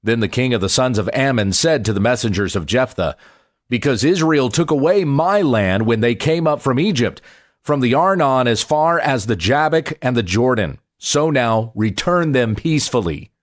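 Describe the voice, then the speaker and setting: A man reads scripture aloud as a pastor would, working from the text. His voice sits at a middling pitch and moves widely up and down. The delivery is deliberate and measured, with clear expression in the phrasing. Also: animated.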